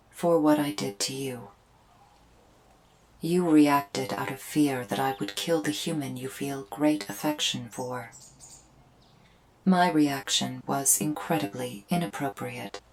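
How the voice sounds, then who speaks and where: A woman's voice: low voice, fairly even intonation, slow, long pauses, darkish tone, low-quality recording. A woman playing a remorseful alien invader in an ASMR roleplay, speaking in formal, precise, stilted phrases. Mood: earnest, apologetic. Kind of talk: scripted ASMR roleplay to the listener.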